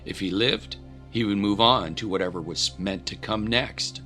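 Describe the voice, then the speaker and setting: A man's voice: on the low side, sharp tone. A man narrating a scripted historical audio drama in a grave, theatrical manner.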